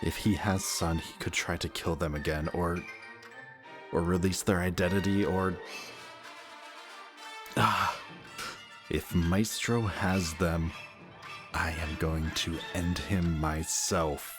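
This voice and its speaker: Guy acting a really deep slow talking villain antihero, protective and anxious, halting muttering, audio drama with music under it. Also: steady tone. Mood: dread, rising anger. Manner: halting, muttering to himself, intense. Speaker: audio roleplay voice actor. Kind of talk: emotional dramatic audio roleplay. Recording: music behind the voice.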